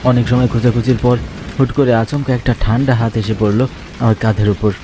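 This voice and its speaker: A man's voice: mid-range voice, steady tone, a little fast. A man narrating a scripted horror story in the first person, with dramatic, suspenseful delivery. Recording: constant rain and thunder noise behind. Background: rain and thunder sound effects.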